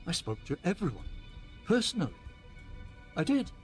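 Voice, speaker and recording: A man's voice: sits high, very animated, normal speed, soft background music. A man playing an earnest, placating department manager in a radio play, justifying himself. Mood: defensive, earnest. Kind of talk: radio play monologue acting.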